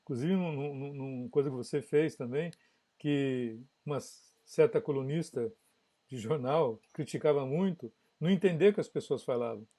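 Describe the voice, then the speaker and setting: A man's voice: medium pitch, normal speed, warm tone. A man from São Paulo state, a guest audio engineer, chatting casually on a live podcast and explaining at length with frequent tag questions. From São Paulo state, Brazil.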